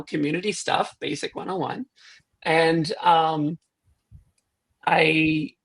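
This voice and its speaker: A woman guest in a casual interview, rambling with quick self-deprecating asides. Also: on the low side, creaky, fairly bright tone, slightly rough. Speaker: guest, programming language developer.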